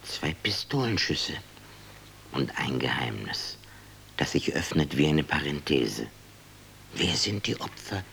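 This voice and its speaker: An actor playing a brooding, confiding male storyteller in a radio drama, musing in a measured, husky voice. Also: extremely low pitch, sing-song, a bit bright, a little hiss or hum, full character voice. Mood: mysterious. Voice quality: gravelly, husky.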